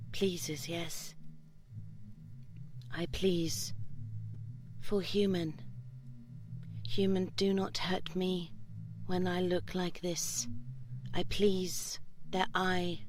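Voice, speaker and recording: A woman voicing a seductive, curious slime creature in an intimate audio roleplay, speaking soft, halting broken English in short fragments, often whispering. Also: on the low side, a little hiss or hum.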